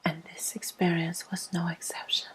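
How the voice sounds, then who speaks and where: A woman telling a personal story as an ASMR host in a breathy, hushed, mostly whispered voice with slow pauses. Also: lowish voice, little pitch movement, low-quality recording.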